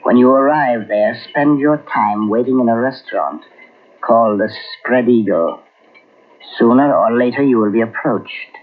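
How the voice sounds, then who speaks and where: An actor playing the calm, solemn ghost of a man who died a year ago, speaking in a slow, measured way, in 1940s radio drama style. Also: medium pitch, lightly acted. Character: ghostly dead man walking between worlds, calm, solemn. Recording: tinny old radio transcription with hiss.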